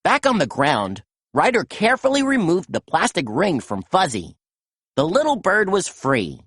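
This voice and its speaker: A woman reading a children's picture book aloud in a gentle, expressive storytelling manner. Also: fairly low pitch, moderate pace, slightly rough, dry recording. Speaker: storytime reader.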